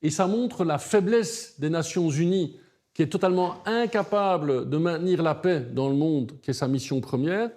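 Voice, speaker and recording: Mellow-voiced man, earnest and emphatic. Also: fairly high pitch, moderate pace. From Belgium. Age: middle-aged. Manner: articulate, emphatic, builds argument in lists. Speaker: human rights lawyer, interview guest. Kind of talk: earnest interview answers.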